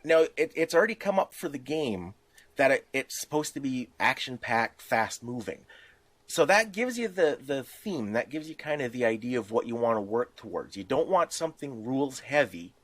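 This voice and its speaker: A man's voice: medium pitch, big pitch swings, moderate pace, no echo. A man co-hosting a casual podcast, explaining things emphatically and posing rhetorical questions. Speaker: podcast host.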